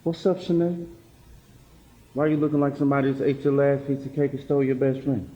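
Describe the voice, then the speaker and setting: A man's voice: medium pitch, little pitch movement, fast talker, highs cut off, close to an everyday voice. A man playing a laid-back, affectionate college student boyfriend in a stage play. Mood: breezy, teasing. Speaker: stage actor.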